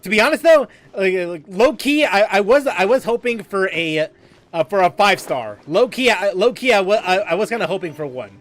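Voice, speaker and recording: A man with a high, very lively voice chats casually on a game stream. He talks in gamer slang and doubles back on his words, with faint game music behind him. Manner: gamer slang, halting repetitions. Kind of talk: casual livestream chat and reactions.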